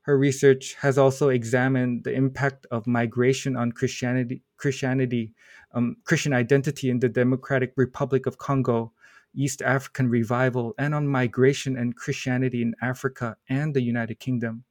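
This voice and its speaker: A man's voice: medium pitch, level tone, a little fast, darkish tone, no room sound, clean studio sound. A man hosting a podcast interview, reading formal guest introductions with small stumbles and fillers. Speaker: podcast host.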